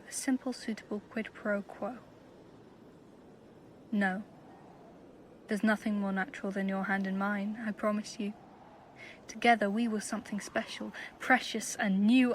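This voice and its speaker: A woman's voice: a little high, fairly bright tone, slight background noise, close to an everyday voice. A woman playing a proud, wistful young lover reciting a poetic letter in an audio drama.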